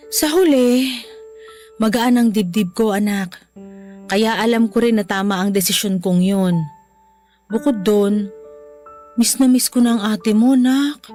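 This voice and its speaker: A woman's voice: medium pitch, natural voice. Tender Filipino mother played by an actress, music behind. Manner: earnest, emotional, soft-hearted. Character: tender, apologetic mother pleading with her grown child. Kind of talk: Filipino radio drama acting.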